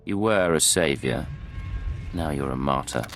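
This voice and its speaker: A man's voice: extremely low pitch, measured pace, over music. A man acting a stern, threatening secret agent in a video game, speaking curtly and dryly. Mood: cold, mocking. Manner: curt, dry.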